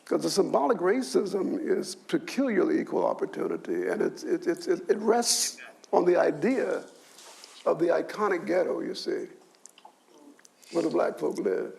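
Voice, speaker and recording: An older man on a discussion panel, explaining slowly as a professor, often restarting words and adding "you see.". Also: fairly high pitch, very animated, darkish tone. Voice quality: gravelly.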